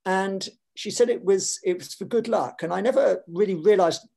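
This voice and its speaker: An older man speaks over a video call from his home, his voice gravelly and husky. He talks in an animated, digressive way, restarting his sentences as he reflects. The tone is that of a literary conversation. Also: sits high, moderate pace. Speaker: author in panel conversation. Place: home room with shelves.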